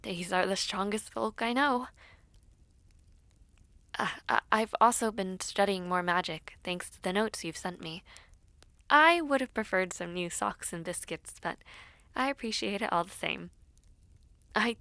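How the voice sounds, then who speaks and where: Woman voicing a young lady knight, devoted and brave, tender wistful letter narration, softly playful, medium pitch, very dry intimate sound, audio roleplay. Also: measured pace, pauses often, dry recording. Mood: earnest, weary.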